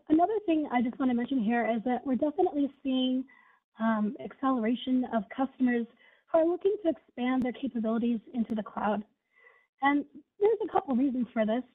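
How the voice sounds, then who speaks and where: A high-pitched woman with a scratchy, husky voice from being sick, presenting a webinar in an upbeat way. She leans on fillers like "you know" and "right". The audio is thin and tinny, as over a phone line. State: scratchy voice from illness. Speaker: webinar presenter, product manager.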